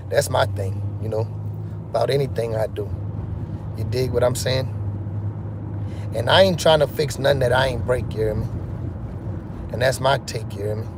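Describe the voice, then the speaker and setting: A man's voice: mid-range voice, normal speed, long pauses. A man hosting a casual phone livestream, rambling and asking "you hear me" again and again. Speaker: livestream host. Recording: noisy phone livestream audio.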